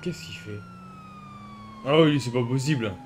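A man's voice: medium pitch, huge pitch swings, unhurried, slight background noise. A man giving casual, slangy gameplay commentary in a grumbling way, like a streamer. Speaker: gaming video maker, streamer.

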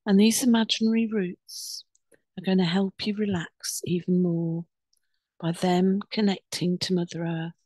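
A woman leading a guided meditation in a soothing, unhurried, instructing way with pauses between phrases. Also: lowish voice, a little mellow, no room sound.

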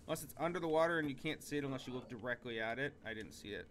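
A man's voice: medium pitch, rapid-fire, noisy background. A man, middle-aged, chatting casually and in a laid-back, profane way as he plays a game with a friend. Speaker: gamer streaming a co-op playthrough. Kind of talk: casual gameplay chat. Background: game music and sound effects.

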